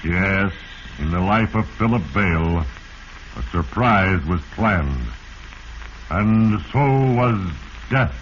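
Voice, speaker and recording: An actor playing Fate as a solemn, all-knowing, portentous narrator in a 1940s radio drama. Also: male voice, low-pitched, animated, takes it slowly. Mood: knowing, grim. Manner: ominous, portentous, theatrical. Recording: muffled, hissy 1940s radio recording.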